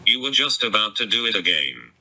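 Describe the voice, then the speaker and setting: A man's voice: fairly low pitch, big pitch swings, fairly quick, bright, forceful tone, breathy edge, soft background music, heavily acted, poor audio. A man voicing a blunt, combative adult woman through a robotic voice changer, with curt replies and swearing. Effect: robotic voice changer on the phone.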